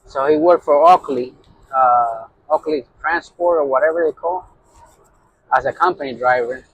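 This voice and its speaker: A man's voice: on the high side, moderate pace, fairly bright tone, dull audio, a little hiss or hum. A middle-aged man with a Puerto Rican accent, a truck driver telling a heated story in a casual vlog, with constant fillers. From New York. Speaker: owner-operator truck driver.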